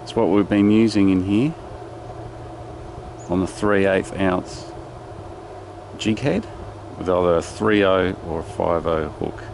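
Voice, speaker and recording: A man talks through his fishing gear in a casual, matter-of-fact way, his voice deep and slow. There's a gravelly rasp to it, and the body-mounted camera picks up wind and lapping water around him outdoors. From Australia. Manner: laid-back, matter-of-fact gear talk with excited outbursts. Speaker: fishing vlogger. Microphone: body-mounted action camera.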